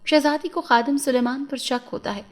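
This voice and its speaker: A woman's voice: high-pitched, fairly even intonation, fairly quick. A woman reading a scripted fairy tale as a storyteller.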